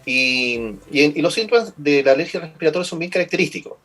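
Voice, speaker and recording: A man's voice: a little high, animated, fast, a bit bright, slightly rough, soft background music. A man with a Chilean accent, a lung doctor as a guest, explaining at length and often repeating words as he finds his phrasing. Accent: Chilean Spanish. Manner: patient, repeats words while finding phrasing. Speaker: pulmonologist guest. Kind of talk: explanatory interview answers.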